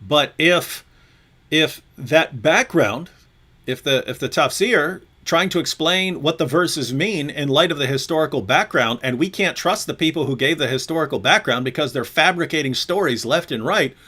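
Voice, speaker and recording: A male host with a fairly high voice argues animatedly, acting out the other side's words and turning to speak straight to his viewers. His talk is energetic and pointed, pushing his questions hard. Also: moderate pace, breathy edge. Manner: animated, mimics opponents, addresses viewers directly. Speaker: show host. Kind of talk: discussion on a live show.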